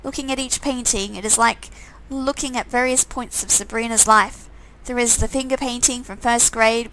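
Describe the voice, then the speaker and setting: A woman's voice: on the high side, pushed and bright, low-quality recording. A woman reading a visual novel game aloud, with sarcastic, amused asides in a casual style. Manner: sarcastic, amused commenting between read lines.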